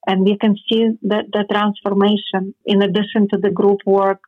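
A woman's voice: mid-range voice, completely flat delivery, slightly rough. Ukrainian-accented woman on a tinny phone line. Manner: earnest, slightly halting non-native phrasing. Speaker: interview guest, foundation representative. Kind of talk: earnest interview answers.

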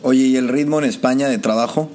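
A man's voice: mid-range voice, quick pace, a little mellow. A man hosting a podcast, introducing and interviewing in an informal, enthusiastic way. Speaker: podcast host. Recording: music behind the voice.

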